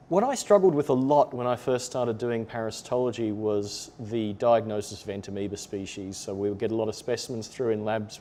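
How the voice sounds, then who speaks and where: A man's voice: mid-range voice, warm tone. Australian man giving a lab webinar. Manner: explanatory, measured, with occasional ums. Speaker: lab trainer presenting a webinar. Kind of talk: webinar lecture.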